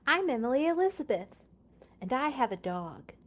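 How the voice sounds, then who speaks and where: A woman reading a children's picture book aloud in an expressive, playful way, with chatty casual asides. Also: high-pitched, moderate pace.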